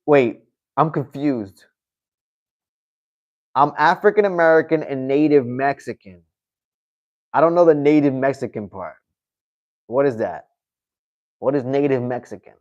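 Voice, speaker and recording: A man's voice: mid-range voice, measured pace, long pauses, a little mellow, lo-fi sound. A man hosting a casual livestream, reading out viewer comments and answering them in a loose, chatty way, often adding "you know what I mean.". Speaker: livestream host.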